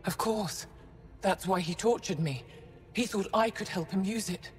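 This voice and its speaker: A woman's voice: medium pitch, unhurried, in a large hall, close to an everyday voice. An actress playing a dignified older female alien scholar in a video game, speaking formally and with authority. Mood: grave, explaining. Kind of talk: dramatic video game acting.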